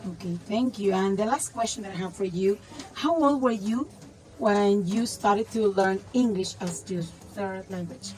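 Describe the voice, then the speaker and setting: A woman's voice: mid-range voice, unhurried, a little mellow, slight background noise, poor audio. A woman interviewer reading questions and thanking the guest politely.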